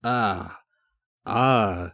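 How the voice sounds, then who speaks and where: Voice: mid-range voice, very slow, creaky, a bit bright, no room sound, clean studio sound, heavily acted. An audiobook narrator playing an awkward, honest young male captain with a hesitant, self-deprecating way of talking. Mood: bewildered.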